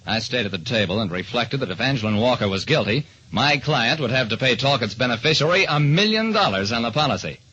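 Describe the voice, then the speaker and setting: A man's voice: medium pitch, animated, quick pace, hardly any pauses, bright, forceful tone, slightly rough. An actor, a man, playing a hard-boiled private detective who narrates his case in a clipped, dramatic old-radio style. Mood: wry, dramatic. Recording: dull old-time radio recording.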